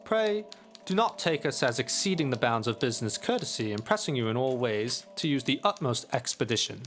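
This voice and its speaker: A formal Victorian solicitor, played by a man, dictates a letter in a radio play. The delivery is clipped and officious, with pitch that swings up and down, over faint music. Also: lively intonation, a little fast, a bit bright, lightly acted. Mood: officious, courteous. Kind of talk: dramatic audio drama letter reading.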